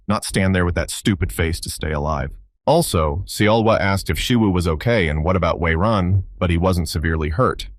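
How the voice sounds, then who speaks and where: A man reading a scripted story recap in a steady read-aloud way. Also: low-pitched, dry recording, studio quality. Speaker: recap narrator.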